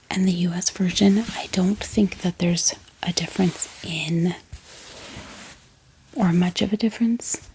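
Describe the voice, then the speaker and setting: A woman speaking in a hushed, breathy whisper, gently explaining things in an ASMR style. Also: on the low side, poor audio. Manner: soft, hushed, unhurried explaining. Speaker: ASMR book haul host. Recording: clear background hiss, handling clicks.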